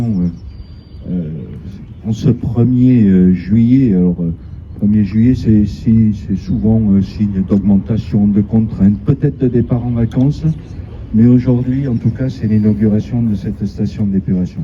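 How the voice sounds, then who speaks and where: A man giving a public inauguration speech as an official, in a halting, hesitant way. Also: lowish voice, steady tone. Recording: slightly dull sound, faint ambient noise.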